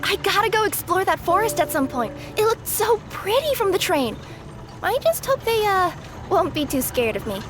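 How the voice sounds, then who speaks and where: A woman's voice: extremely high pitch, very animated, normal speed, over music. A woman voicing a young cat-girl courier in an anime-style game, sweet, earnest and a little flustered. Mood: eager, curious. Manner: earnest, friendly, slightly flustered.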